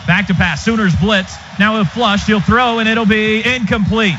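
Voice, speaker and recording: An American man doing live football play-by-play for radio, in an excitable, clipped running call of each play. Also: high voice, normal speed. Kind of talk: live sports commentary. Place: stadium radio booth. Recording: noisy, dull stadium radio broadcast.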